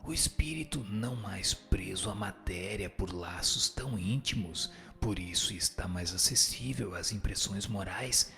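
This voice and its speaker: Solemn spirit instructor for radio drama, male actor: low, gravelly, didactic and declamatory. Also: measured pace, few pauses, bright, forceful tone, full character voice. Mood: solemn, instructive. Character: solemn spirit instructor answering questions patiently. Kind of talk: scripted radio drama dialogue. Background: music.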